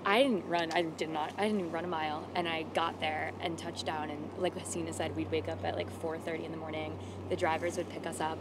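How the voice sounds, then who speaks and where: A woman's voice: sits low, little pitch movement, fast talker, few pauses. A young woman telling an anecdote in casual conversation. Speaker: marathon runner. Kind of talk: casual chat. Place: outdoor waterfront boardwalk. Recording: very noisy outdoor city ambience. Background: loud traffic and city noise.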